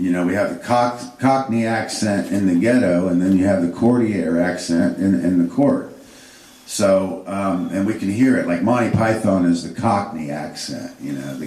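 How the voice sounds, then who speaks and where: A man's voice: fairly low pitch, darkish tone. A man giving an unscripted history lecture, rambling with fillers and restarts. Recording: echoey room, distant mic.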